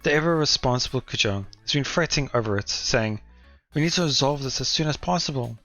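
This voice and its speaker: A man's voice: mid-range voice, lively intonation, brisk, a bit bright, soft background music. A man reading aloud as a wry, self-deprecating teenage schoolboy narrating his own story from a visual novel, in a dry manner.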